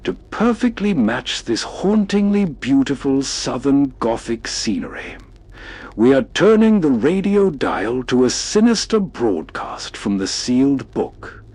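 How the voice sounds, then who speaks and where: A man's voice: mid-range voice, big pitch swings, unhurried, darkish tone, breathy edge, no echo. A man hosting with scripted, atmospheric narration. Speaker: host.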